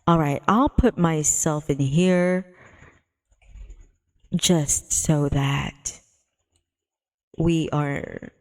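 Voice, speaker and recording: A woman's voice: low voice, steady tone, very slow, pauses often, darkish tone. A woman livestreamer chatting casually as she sets up a game, giggly and given to exclamations. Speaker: livestreamer host. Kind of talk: casual livestream chat while setting up and playing a visual novel. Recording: music playing behind the voice. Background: background music.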